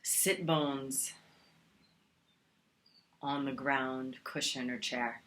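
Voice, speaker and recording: A woman teaching a guided meditation in a calm, soothing manner, leaving long pauses between phrases. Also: a bass voice.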